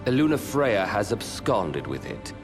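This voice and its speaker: Proud curt army commander, deep voice, formal, fantasy game cutscene w music. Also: male voice, very animated, unhurried. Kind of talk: video game cutscene acting.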